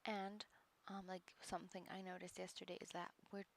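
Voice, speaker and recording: A woman with a low speaking voice chatting casually on a live stream as she works through a course, hesitant and full of fillers and muttered asides. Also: level tone, a little fast, creaky, a little hiss or hum. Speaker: livestreamer studying a course.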